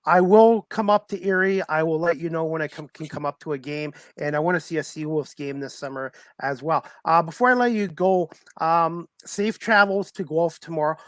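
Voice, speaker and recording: A man's voice: fairly high pitch, dry recording. A man hosting a sports talk show, chatting casually and informally with a guest and often repeating himself. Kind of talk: casual interview chat.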